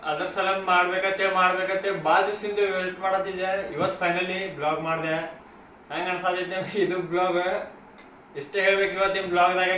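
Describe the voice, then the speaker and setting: A man's voice: high-pitched, pushed and bright. A man with a North Karnataka accent chatting casually to viewers as a vlogger, in slangy speech mixed with English words. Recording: roomy echo, faint background noise.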